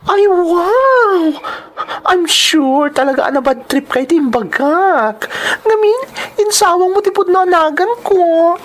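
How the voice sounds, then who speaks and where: High-pitched, campy young best friend. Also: male voice, animated, slow pace, fairly bright tone, a little hiss or hum, heavily acted. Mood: teasing, excited. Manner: campy, gossipy, exclamatory. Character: flamboyant, teasing young male best friend. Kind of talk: Ilocano audio drama acting.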